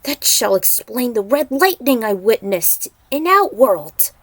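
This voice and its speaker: A woman's voice: on the high side, sing-song, a little slow, a bit bright, no room sound, full character voice. A concerned wind god played by a woman storyteller, formal in speech. He addresses his brother in a questioning, stately way within a dramatic fantasy tale.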